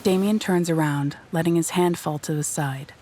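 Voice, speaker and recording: A woman reading scripted narration for a dark audio drama in a measured, descriptive storytelling manner. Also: low-pitched, a little hiss or hum.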